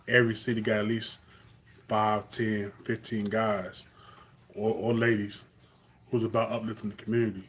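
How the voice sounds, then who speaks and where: A man's voice: fairly low pitch, a little hiss or hum. A young man from Carolina with a Southern accent, talking earnestly off the cuff in a live stream monologue, with fillers and restarts.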